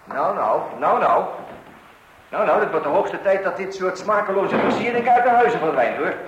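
A man's voice: sits high, expressive, normal speed, lo-fi sound. An actor playing a bumbling, eager middle-aged family man in a radio comedy, stammering and muttering repeated words to himself. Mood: busy, flustered. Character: bumbling, eager husband and father doing home DIY. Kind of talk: radio comedy play acting. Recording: noisy, echoey old radio play recording.

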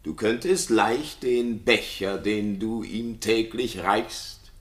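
A man's voice: lowish voice, fairly even intonation, takes it slowly. An actor playing a jealous, suspicious king in a classical radio play, declaiming theatrical verse with intensity. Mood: bitter, accusing. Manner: intense, declamatory, swinging from hushed to frenzied. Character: jealous, suspicious king raging at his supposedly unfaithful wife. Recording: roomy studio reverb.

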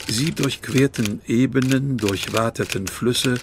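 An actor playing a grave chronicler in a radio drama, narrating a journey in deliberate literary phrases. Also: male voice, mid-range voice, lightly acted. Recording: clear typing and clicking noise behind.

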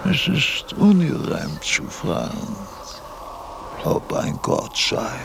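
An actor playing a frail aged philosopher, laboriously dictating abstract thoughts in broken phrases with long pauses, in a radio drama. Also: male voice, mid-range voice, wildly swooping pitch, creaky, background noise, exaggerated voice. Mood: solemn, searching. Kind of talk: slow, halting radio-drama monologue.

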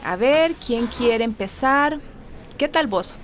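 An encouraging Costa Rican woman leads a support group in a radio drama. Her voice is moderately high and coaxing. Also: lively intonation, takes it slowly, a little hiss or hum.

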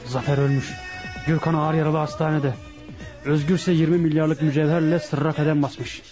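A man's voice: a little high, flat delivery, moderate pace, natural voice. An actor playing an eager, loyal henchman answering to his gang boss in a Turkish radio drama. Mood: alarmed. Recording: muffled radio recording over music.

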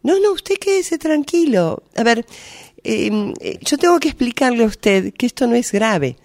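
Woman playing fumbling doctor, slow, halting, evasive. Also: on the high side, expressive, a little mellow, dry recording. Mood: hesitant. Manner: hesitant, many fillers, slow. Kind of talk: improvised radio drama workshop scene.

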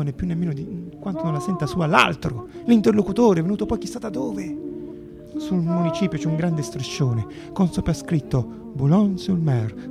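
A man's voice: on the high side, gravelly. A man narrating radio theatre as an actor-storyteller, dramatic and emphatic, repeating phrases for effect.

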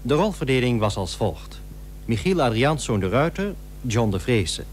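Male vintage radio announcer, formal credit reading with expressive pitch movement over slight hum. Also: normal speed. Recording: old radio recording with faint hum.

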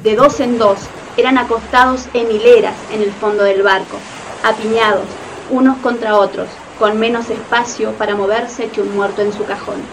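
Slightly distant, higher-voiced female narrator. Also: fairly even intonation, normal speed, over music. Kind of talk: scripted dramatic narration.

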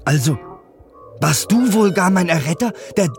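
Young prince, polite n earnest, highish voice, radio drama with music under it. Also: male voice, expressive, moderate pace. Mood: impressed. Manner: formal, polite, questioning. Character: earnest, curious, courteous young foreign prince. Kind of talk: theatrical radio play acting. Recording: music behind the voice.

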